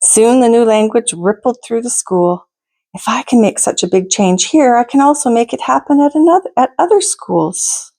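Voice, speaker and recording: A woman reading a picture book aloud to children as a storytime host, in a deliberate, expressive manner with asides to her listeners. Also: on the high side. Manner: deliberate, expressive, pauses to ask listeners questions.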